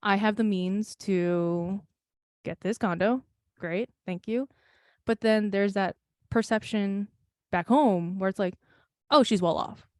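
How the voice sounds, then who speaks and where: A woman's voice: mid-range voice, slow, pauses often, a little mellow, no room sound, clean studio sound. A young woman co-hosting a podcast in casual chat, rambling with lots of fillers, laughing often and swearing. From United States. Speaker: podcast co-host.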